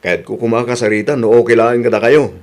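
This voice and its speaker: A man's voice: mid-range voice, steady tone, fast, natural voice. A man acting a vain, easily wounded young suitor in a radio drama. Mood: hopeful.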